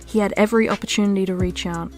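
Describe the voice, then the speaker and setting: A woman's voice: medium pitch, fairly even intonation, racing, soft background music. A woman reading scripted storytelling narration for an audio roleplay.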